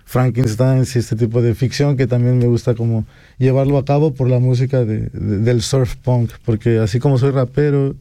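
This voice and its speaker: A man's voice: mid-range voice, steady tone, normal speed, dark tone, no room sound. A Mexican man in his forties chatting as an interview guest, often ending phrases with a questioning tag. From Pachuca, Mexico. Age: 40s. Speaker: guest rapper. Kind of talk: casual interview talk.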